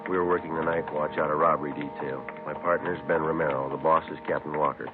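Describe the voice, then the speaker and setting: An actor playing a stoic police detective in a 1950s radio crime drama, with a clipped, deadpan delivery. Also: male voice, very deep voice, fast talker. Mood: matter-of-fact. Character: stoic, matter-of-fact male police detective sergeant, narrator. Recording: old tinny radio recording, music behind.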